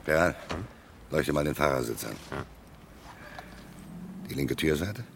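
An extremely deep-voiced, gruff police commissioner, from an old radio crime play. He speaks in curt, commanding phrases with a creaky gravel, over faint recording noise. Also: measured pace, exaggerated voice. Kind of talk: vintage German radio crime drama acting.

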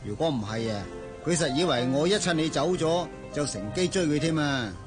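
A male actor playing the groom's smooth-talking old friend in a Cantonese radio drama, teasing and insinuating in a Hong Kong accent. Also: on the high side, lively intonation, normal speed, natural voice. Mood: persuasive, sly. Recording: music behind the voice.

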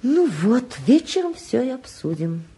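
Proud mother, husky, lively intonation. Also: high-pitched, unhurried, heavily acted. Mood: resigned, formal. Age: middle-aged. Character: proud, worried middle-aged mother of grown sons. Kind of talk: 1950s Soviet radio drama acting.

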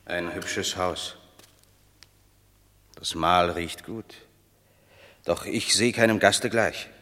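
Proud Roman warrior, bitter and commanding, bright forceful low voice, measured stage-verse delivery for radio drama. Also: male voice, full character voice. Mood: brooding. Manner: declamatory, measured, grand.

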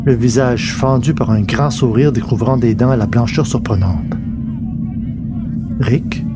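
A man's voice: mid-range voice, heavy background noise. A man reading scripted narration for an audio story, as its narrator. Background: loud music.

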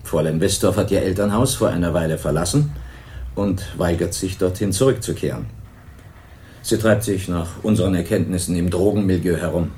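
Radio crime play: actor doing a deep, formal detective, slow official questioning, faint hiss behind. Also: male voice, dark tone, natural voice. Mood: calm. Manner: measured, official, probing. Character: calm, formal male police investigator. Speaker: police detective. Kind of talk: 1980s radio crime drama.

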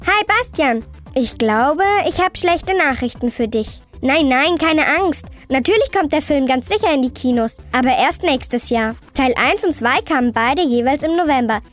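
A woman playing an excitable, chummy girl host of a scripted children's audio show, talking in exclamatory youth slang. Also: high voice, moderate pace, soft background music. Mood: animated, chatty. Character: Sally, chirpy excitable cartoon-like girl host. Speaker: host answering young callers' questions.

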